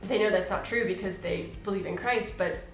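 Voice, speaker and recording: Woman with a gravelly bright voice, thoughtful and measured, faint music behind. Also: medium pitch, reverberant. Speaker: missionary, asks the questions. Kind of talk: casual Q and A chat.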